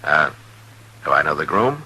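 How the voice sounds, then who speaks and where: An American actor playing a gruff, weary, decent Old West marshal in a 1950s radio drama, speaking in a terse, measured way. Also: male voice, rumbling low, sing-song, sharp tone, full character voice. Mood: surprised, awkward. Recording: vintage 1950s radio recording, music.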